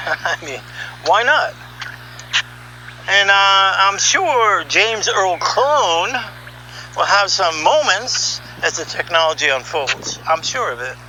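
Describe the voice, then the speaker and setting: Middle-aged male storyteller, high and very sharp-edged, conversational and emphatic, over faint background hum. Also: very animated, slow, long pauses, poor audio. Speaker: narrator, voiceover producer. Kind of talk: conversational first-person storytelling.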